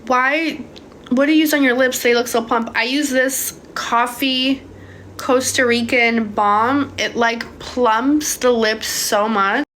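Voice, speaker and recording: A woman's voice: on the high side, steady tone, takes it slowly, a bit bright, slight background noise. A young woman from Hungary answering viewers' questions about manifesting in a casual, swearing livestream chat. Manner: casual, swears freely, calls viewers babe. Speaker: manifestation coach. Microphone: phone.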